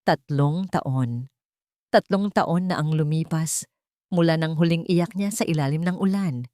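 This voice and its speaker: A woman narrates a romantic drama from a script. Her voice sits low, and the sound is dry, with very little room in it. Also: a little slow, warm tone, dry recording. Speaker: story narrator.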